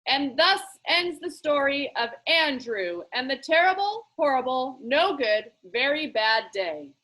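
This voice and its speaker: A woman's voice: big pitch swings, pushed and bright, full character voice, rough recording. A woman voicing an eccentric, grandly stern man who presides like a judge in a children's storybook. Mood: judging, solemn. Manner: booming, theatrical, deliberate. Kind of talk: children's book read-aloud.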